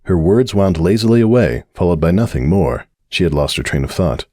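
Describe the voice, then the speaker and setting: A man narrating a dramatic audiobook-style reading in an expressive storytelling manner, recorded dry and close. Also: sits low, a little fast, dry recording.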